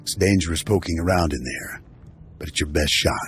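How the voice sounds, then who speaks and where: A man playing a seasoned bounty hunter mentor who briefs in a steady, matter-of-fact way in video game dialogue. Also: deep voice, fairly quick, slight background noise, lightly acted. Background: faint game music.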